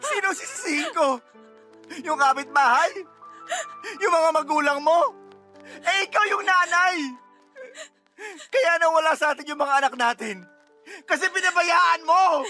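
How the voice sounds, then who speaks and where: A man's voice: extremely high pitch, expressive, unhurried, pauses often, pushed and bright, soft background music, full character voice. A man with a Filipino accent playing a grieving, blaming husband and father in an emotional radio drama. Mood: angry, accusing. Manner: anguished, accusing, emotional outbursts.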